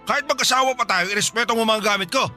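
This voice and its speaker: A blustering husband who denies everything in a Tagalog radio drama. His voice is high for a man, very sharp and quick, scoffing and defensive. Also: soft background music, heavily acted. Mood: indignant. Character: indignant, evasive older husband denying an affair.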